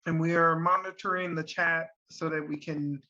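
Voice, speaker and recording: A man from Chicago facilitating an online panel discussion, halting, with many fillers and restarts, heard over a video call. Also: on the high side, level tone, bright, forceful tone. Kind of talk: hesitant online panel hosting. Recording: dull lo-fi video call audio.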